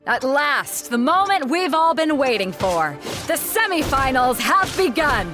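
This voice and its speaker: A woman's voice: high voice, very animated, a little slow. An actress playing a female tournament announcer who hypes the match in a showy way. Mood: hyped. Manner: showy, hyping the crowd. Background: game music.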